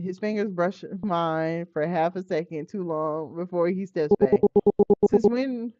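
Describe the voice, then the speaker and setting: Casual streamer narrating a visual novel, expressive and slangy, slightly muffled audio over soft music. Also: mid-range voice, no room sound. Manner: informal, expressive, breaking into asides and slang. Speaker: game streamer. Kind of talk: reading a visual novel aloud with casual live commentary.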